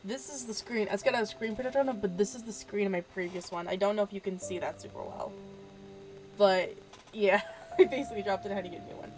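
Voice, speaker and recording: A woman's voice: medium pitch, quick pace, faint music behind. A woman with a stuffy, allergy-clogged voice chats her way through a game video. She rambles and fills the gaps with fillers, keeping her voice down at home at night. Her mic adds a crackle of static. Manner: chatty, rambling, trails off with fillers; talks quietly so as not to wake others. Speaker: gaming video host. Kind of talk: casual let's-play commentary.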